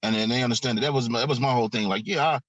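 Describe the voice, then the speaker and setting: Fast-talking man, slangy podcast host. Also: mid-range voice, a bit bright, no echo. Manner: slangy, easygoing. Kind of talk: casual chat.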